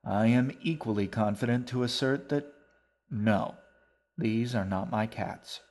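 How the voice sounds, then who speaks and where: A man's voice: medium pitch, unhurried, darkish tone, lightly acted. A man doing a grand, wordy old gent. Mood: expansive. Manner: long-winded, formal, good-natured. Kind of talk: audiobook character voice.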